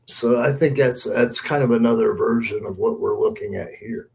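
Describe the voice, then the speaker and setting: A man in casual podcast chat, explaining things in a halting way, repeating and rephrasing as he comments on a match. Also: mid-range voice, vocal fry, darkish tone. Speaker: podcast co-host, former wrestler.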